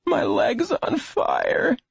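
A man voicing a frightened, hurting boy in a strained, halting, whimpering voice for a storybook. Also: very high voice, very animated, creaky, no echo, pitched above the performer's own voice, exaggerated voice. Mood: tearful. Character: frightened boy bitten by a rattlesnake, in pain.

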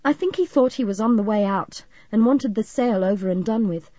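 Anxious, courteous young man played by a female narrator, earnest and mellow, storybook reading. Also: mid-range voice, a little fast, dry recording, lo-fi sound, natural voice. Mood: anxious, surprised. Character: worried, polite young man with a sick father.

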